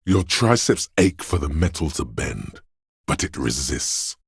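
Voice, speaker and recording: A man voicing a primal, menacing inner voice of danger and aggression, narrating a video game in a brooding, gravelly, deliberate way. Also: big pitch swings, no room sound, clean studio sound, exaggerated voice. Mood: ominous. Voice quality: gravelly, husky, very deep. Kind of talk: dramatic second-person game narration.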